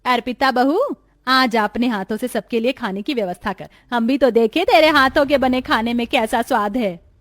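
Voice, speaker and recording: A woman voicing a kindly, authoritative mother-in-law in a cartoon family drama, with an expressive, matronly delivery. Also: on the high side, moderate pace, no echo, close to an everyday voice. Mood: instructing. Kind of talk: cartoon family melodrama dubbing.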